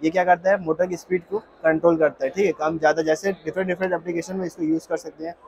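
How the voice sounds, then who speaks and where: Higher-pitched Indian man explaining products. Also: steady tone, quick pace, a little mellow, slight background noise. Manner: explanatory, question-and-answer delivery. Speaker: exhibitor, industrial automation company employee. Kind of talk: product demonstration. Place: industrial exhibition stall.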